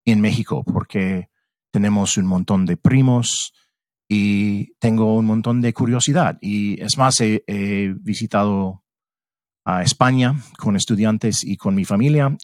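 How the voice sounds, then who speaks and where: A man's voice: lowish voice, a little slow, a little mellow, no echo, studio quality. A middle-aged man from Mississippi who speaks with an American English accent. He talks as a podcast guest, reflective and searching for words in his second language. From Mississippi, USA. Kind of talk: casual interview chat.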